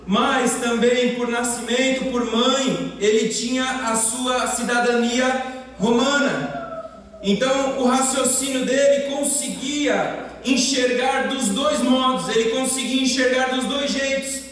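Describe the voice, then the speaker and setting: A man's voice: very high-pitched, fairly even intonation, takes it slowly, a bit bright. A man from the interior of São Paulo state with a Brazilian accent, preaching an expository sermon and building to emphatic proclamations. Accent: Brazilian Portuguese, São Paulo interior. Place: church hall. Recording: far-off mic in an echoey church hall with faint noise.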